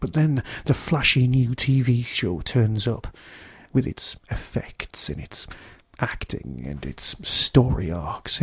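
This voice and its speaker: A man delivering a solo podcast review in a wry, enthusiastic way, with emphatic pauses. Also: medium pitch, breathy edge, dry recording.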